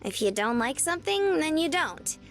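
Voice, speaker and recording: A woman's voice: high-pitched, big pitch swings, normal speed, faint music behind. A woman voicing a sweet, bubbly young woman in a visual novel, with a cutesy, chirpy, earnest manner. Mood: puzzled, earnest.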